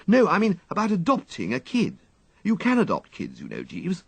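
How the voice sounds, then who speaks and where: A man voicing a fretful, flighty young upper-class English gentleman who dithers and exclaims plaintively, in an audiobook story. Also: sits high, wildly swooping pitch, normal speed, vocal fry, breathy edge, no echo, pitched above the performer's own voice, full character voice. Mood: eager, rambling. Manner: exclamatory, dithering, drawling dandyish complaint.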